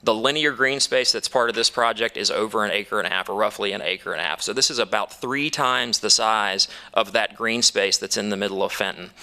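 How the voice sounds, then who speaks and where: A man's voice: fairly low pitch, fairly quick, hardly any pauses, sharp tone. A man speaking as an attorney for a developer at a town council hearing, upbeat and persuasive, with some fillers and restarts. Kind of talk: formal presentation.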